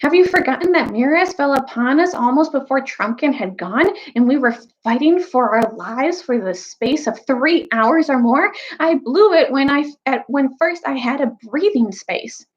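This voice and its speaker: A woman's voice: sits high, expressive, normal speed, few pauses, pitched above the performer's own voice. Woman voicing an indignant storybook king, muffled. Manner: angry, insistent. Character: indignant, embattled young king arguing in war council.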